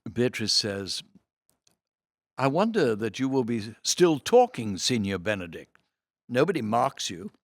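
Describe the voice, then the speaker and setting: A man reading programme notes aloud in an expressive, theatrical way, with deliberate phrasing and dramatic emphasis. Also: medium pitch, no echo, studio quality.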